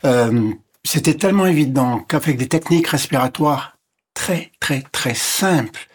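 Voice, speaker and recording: A man whose first language is English, speaking as a physician guest and explaining step by step in a measured way that lists and repeats points. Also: mid-range voice, breathy edge. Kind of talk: explanatory interview talk.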